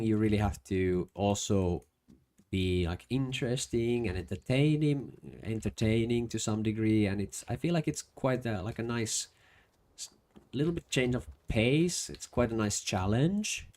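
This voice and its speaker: A man's voice: low voice, a little mellow. A young man with a Finnish accent chatting casually while streaming a game, hesitant with many fillers and restarts. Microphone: desk microphone.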